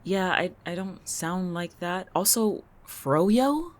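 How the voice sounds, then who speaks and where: Audio drama role for an actor: sardonic, calculating town local, sharp-tongued and irritable, animated in pitch. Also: slow. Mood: irritated, sarcastic. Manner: biting, sarcastic, dry-witted.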